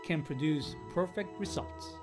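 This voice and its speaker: A man's voice: on the high side, measured pace, music in the background, natural voice. An actor playing a cocky, dramatic male star robot golfer in an anime-style game, boastful and theatrical. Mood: storytelling, dramatic.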